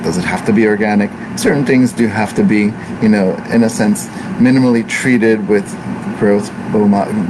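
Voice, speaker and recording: An American-born man whose first language is Italian, teaching Ayurveda in an unhurried, reflective way with many fillers and restarts. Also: lowish voice. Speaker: Ayurveda practitioner. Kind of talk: informal teaching talk. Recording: noisy room with air conditioning hum.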